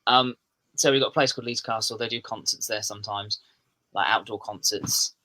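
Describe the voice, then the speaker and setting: Rambling Kent man, bright voice. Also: fairly low pitch, steady tone, fairly quick, rough recording. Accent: English, southeast England. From England. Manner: rambling, restarts sentences, self-deprecating humour. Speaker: podcast guest, metal musician. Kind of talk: casual podcast chat.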